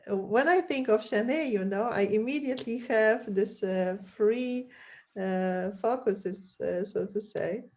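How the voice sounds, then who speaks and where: Slow, deliberate female podcast host. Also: medium pitch, darkish tone. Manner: slow and deliberate, with many fillers. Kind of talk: interview hosting.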